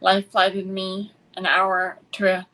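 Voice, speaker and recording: An American woman with a mid-range voice talks at an unhurried, slow pace. She is an interview guest speaking in a personal, conversational way, with frequent halts as she gathers her thoughts. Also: mid-range voice, completely flat delivery, pushed and bright, rough recording.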